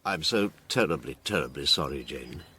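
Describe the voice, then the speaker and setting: A man playing an awkward, well-mannered British gentleman in a radio drama, apologetic in manner, with faint background noise. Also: a bass voice, animated, normal speed, full character voice. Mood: apologetic, sympathetic.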